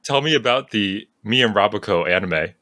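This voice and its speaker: A man's voice: lowish voice, animated, normal speed, sharp tone, no echo, clean studio sound. A man from Long Island with a matching accent, hosting a podcast in playful, joking casual chat. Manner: joking, playful, laughs often. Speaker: podcast host.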